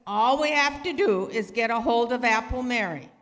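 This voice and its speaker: Woman playing high-voiced tough gangster boss in a hall. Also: moderate pace, natural voice. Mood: bossy, scheming. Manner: slangy, bossy, scornful. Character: tough, scheming male racketeer gang boss. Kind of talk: 1930s gangster radio acting. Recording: reverberant hall recording.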